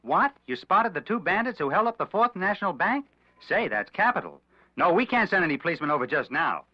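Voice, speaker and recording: A man's voice: high voice, sing-song, a little fast, no room sound. Man voicing a pompous, swooping police chief, dry dull lo-fi radio sound. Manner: pompous, dismissive. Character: blustering police chief on the phone. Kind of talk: comic radio drama acting.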